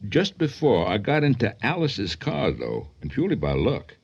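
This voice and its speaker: An actor playing a steady man in a radio thriller, with a measured, dramatic delivery. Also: mid-range voice, vocal fry, husky, highs cut off, full character voice. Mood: intent. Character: steady man recounting his narrow escape.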